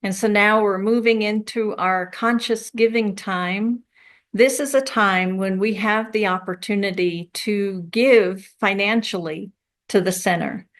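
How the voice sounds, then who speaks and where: A woman leads a spiritual service at a slow pace. Her pitch sits in the middle range for a woman, and she phrases prayers and announcements deliberately, with a reverent, measured delivery. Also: fairly even intonation. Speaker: service leader at a spiritual center. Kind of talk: leading prayer and offering at a church service.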